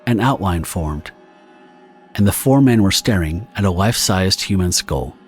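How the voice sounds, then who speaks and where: A man narrating a scripted audio drama story in a measured, dramatic storytelling manner. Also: low voice, expressive, soft background music.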